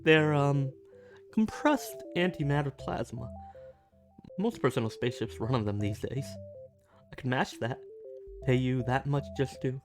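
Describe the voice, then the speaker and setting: Woman doing a shy snarky alien in asmr roleplay, low voice, stammery and flustered, music underneath. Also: expressive, measured pace, pauses often, full character voice. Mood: nervous, hesitant. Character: shy, snarky, touch-starved alien visitor on Earth. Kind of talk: ASMR roleplay audio drama. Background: soft music.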